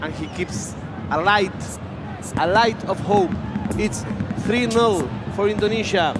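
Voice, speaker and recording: A man's voice: high-pitched, slow pace, over loud music, over a noisy crowd, low-quality recording. An Argentine-accented man, a native Spanish speaker, giving live esports football commentary and repeating short phrases for emphasis at a venue. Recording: live venue with loud background ambience.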